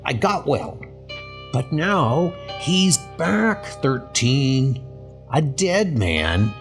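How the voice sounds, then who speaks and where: Guy doing a haunted mob boss in a comic dub, blunt and edgy, pitch jumps around, music under it. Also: very animated, very slow, heavily acted. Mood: haunted, frantic. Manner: blunt, streetwise, increasingly frantic. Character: agitated, haunted male gangster political boss. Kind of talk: amateur comic dub acting. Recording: music behind the voice.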